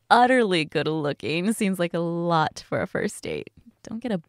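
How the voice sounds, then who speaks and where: Woman acting a flirty, slow-talking date, creaky voice. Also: lowish voice, dry recording, clean studio sound. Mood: teasing, playful. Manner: giggly, hesitant, teasing, conversational. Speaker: audio roleplay voice actress.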